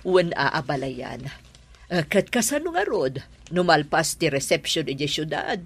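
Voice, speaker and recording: Husky, animated Ilocano bride's mother. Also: deep voice, normal speed, full character voice. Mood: friendly. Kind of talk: radio drama acting.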